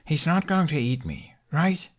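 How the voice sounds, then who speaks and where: A man's voice: on the high side, sing-song, a little slow, voice pushed up. A man voicing a stubborn, daring teenage boy in an audiobook story, bold and insistent. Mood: nervous, checking.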